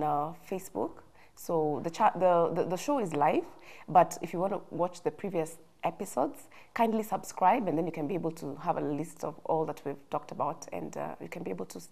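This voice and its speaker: A woman from Kenya hosting a TV talk show in a studio, chatty and quick to affirm her guest. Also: lowish voice, vocal fry, mellow. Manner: chatty, frequent affirming fillers.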